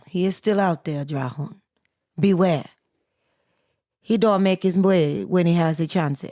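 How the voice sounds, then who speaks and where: A woman playing a stern, blunt voodoo high priestess over a hundred years old, scolding in curt broken English. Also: slow pace, pauses often, mellow, no echo, studio quality. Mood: grave warning. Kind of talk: campy horror-comedy film acting.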